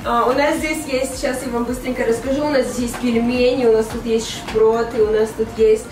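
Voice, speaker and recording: Young woman, high voice, slightly hoarse, chatty vlog host, echoey room, distant mic. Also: flat delivery, fairly quick, over music. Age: young adult. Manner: chatty, laughs often. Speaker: channel host. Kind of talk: casual group mukbang vlog. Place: large dining room indoors with friends. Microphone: camera at a distance.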